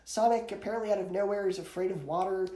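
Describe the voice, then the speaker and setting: Young man, mellow timbre, opinionated reviewer, casual countdown delivery, echoey home recording. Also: high-pitched, fast. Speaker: movie reviewer. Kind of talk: casual scripted video review, countdown list. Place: small indoor bedroom with posters.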